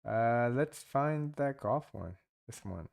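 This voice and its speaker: A man's voice: medium pitch, animated, slow, creaky, darkish tone. A man giving casual, rambling commentary while playing mobile multiplayer games, thinking aloud with many restarts. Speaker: gaming channel host.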